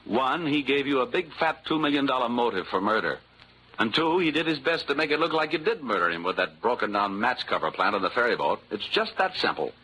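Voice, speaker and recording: A man's voice: mid-range voice, lively intonation, fairly quick, sharp tone, breathy edge, poor audio. An American man playing a wisecracking, sardonic hard-boiled insurance investigator in 1940s radio detective style. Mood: tough, wry. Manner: wry, glib, sardonic. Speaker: radio drama lead and narrator. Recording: old 1940s radio recording with music.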